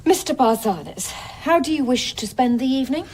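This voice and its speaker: Dutiful, earnest wife, very expressive. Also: female voice, high voice, normal speed. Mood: deferential. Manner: earnest, guarded. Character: dutiful wife, earnest believer in her society. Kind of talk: audio drama acting. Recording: clear background noise.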